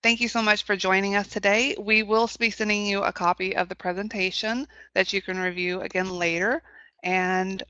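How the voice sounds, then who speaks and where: A woman hosts an online webinar in a polite, customer-service manner. Her voice is bright and forward at a middle pitch. The audio is somewhat dull. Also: fairly even intonation, moderate pace, clear, resonant tone, no room sound. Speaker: webinar host. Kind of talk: informal webinar hosting.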